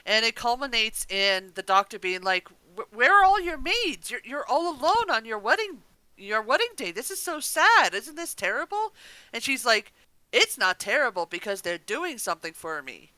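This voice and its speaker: A woman's voice: fairly high pitch, sing-song, moderate pace, pushed and bright, dry recording, rough recording. A woman co-hosting a casual review chat about an audio drama in a gushing, enthusiastic manner.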